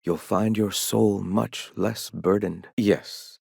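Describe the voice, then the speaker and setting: An actor playing a zealous philosopher who leads an anti-corporate rebel sect in a video game, speaking in lofty, measured, formal oratory. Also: male voice, fairly low pitch, steady tone, vocal fry, darkish tone, breathy edge, dry recording, clean studio sound, exaggerated voice. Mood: serene, preacherly. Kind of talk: video game character dialogue.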